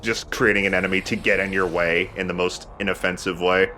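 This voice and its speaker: A man's voice: sits low, flat delivery, a little fast, bright, forceful tone, slight background noise. A man hosting a let's play, joking and swearing in casual banter as he comments on his game. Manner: joking, profane.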